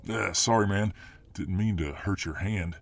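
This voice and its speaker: A man's voice: low voice, measured pace, creaky, exaggerated voice. A man playing a huge, confident but modest alpha fireman hero in a casual, self-assured ASMR roleplay. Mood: friendly confidence. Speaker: voice actor in audio roleplay.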